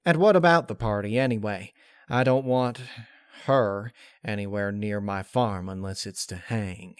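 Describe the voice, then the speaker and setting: A man's voice: lowish voice, unhurried, smooth, dry recording, studio quality, close to an everyday voice. A man voicing a plain-spoken female country pony, protective and grim underneath, with a folksy, guarded manner. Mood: irritated. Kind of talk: dramatic fan-fiction character reading.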